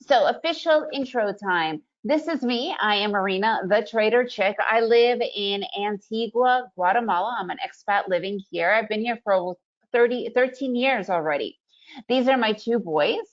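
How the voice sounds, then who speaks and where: Casting: woman of Russian origin, upbeat webinar presenter, mid-pitched voice, slightly dull lo-fi audio. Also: moderate pace. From Soviet Union, raised in the US. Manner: upbeat, chatty, leans on "right?" tag questions.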